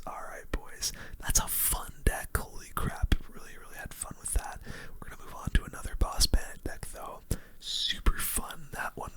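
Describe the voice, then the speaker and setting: Casting: ASMR gaming host, all whisper, very sharp breathy top end, casual slangy play-by-play. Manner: hushed, whispering throughout; casual gamer slang, chatty.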